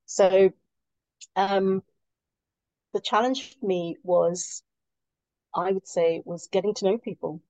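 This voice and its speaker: Gravelly, mellow-voiced woman guest. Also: medium pitch, takes it slowly, pauses often, dry recording, low-quality recording. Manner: reflective, hesitant with fillers. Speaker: guest consultant. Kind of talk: conversational interview.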